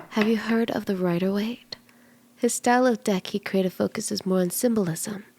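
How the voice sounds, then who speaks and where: A woman voicing a cute, friendly kitsune tarot mystic in an ASMR roleplay, gentle, playful and unhurried, soft-spoken and often slipping toward a whisper. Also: medium pitch. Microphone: close ASMR microphone in a dry space.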